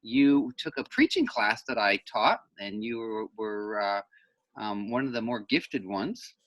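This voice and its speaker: A man's voice: lowish voice, animated, measured pace, fairly bright tone, no echo, rough recording. A man speaking casually as an interviewer, in an informal conversational introduction.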